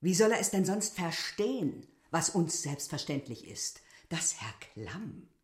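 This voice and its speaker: A woman's voice: sits low, very animated, a little slow, a little mellow. A middle-aged innkeeper woman in a radio drama. She is proud and self-important, lecturing in formal, emphatic phrases with a weary edge. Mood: indignant lecturing. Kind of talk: literary radio drama acting.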